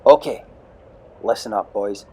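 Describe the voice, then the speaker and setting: A man's voice: on the low side, measured pace, dark tone, breathy edge. A man voicing a bossy, bullying Viking boy leader in a storybook audio play, giving menacing orders.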